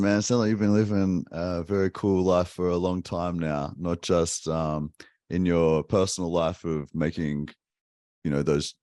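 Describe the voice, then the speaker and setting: A man hosts a relaxed interview in a very low voice, upbeat and encouraging, with easygoing slang. The sound is dry and close, with no room echo. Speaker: podcast host. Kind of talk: casual interview chat.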